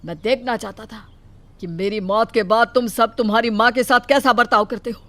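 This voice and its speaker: A woman voicing a stern older father who scolds forcefully in a cartoon story. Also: on the high side, fairly even intonation, brisk, close to an everyday voice. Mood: angry. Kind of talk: Hindi cartoon dubbing.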